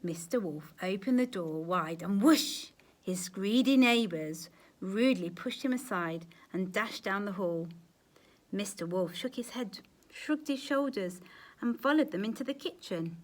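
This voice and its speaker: A woman storyteller reads a picture book aloud for children. Her delivery is expressive and dramatic, with very lively pitch at a middling height. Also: a little slow. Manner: animated, dramatic emphasis, quiet asides to young listeners. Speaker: storyteller, teacher.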